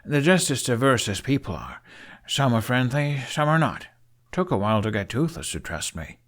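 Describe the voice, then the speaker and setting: A man's voice: mid-range voice, very animated, normal speed, fairly bright tone. A man voicing an awkward, good-natured young dragon tamer in an audiobook, with a hesitant, mumbling manner. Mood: easygoing. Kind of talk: audiobook character dialogue.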